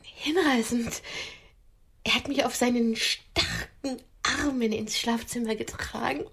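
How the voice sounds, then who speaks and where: Bossy, romantic woman with a husky high voice. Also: fairly even intonation, slow pace, fairly bright tone, heavily acted. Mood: dreamy, boastful. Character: lonely, bossy, boastfully romantic woman dreaming of a prince. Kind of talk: radio play acting.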